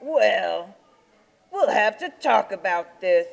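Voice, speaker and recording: A woman's voice: mid-range voice, very animated, slow, creaky, full character voice. A woman voicing an anxious, fussy male yeti in a children's puppet show, with exaggerated expression. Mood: worried, grumbly. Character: anxious, fussy yeti who loves his roses and solitude. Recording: echoey hall, live puppet show.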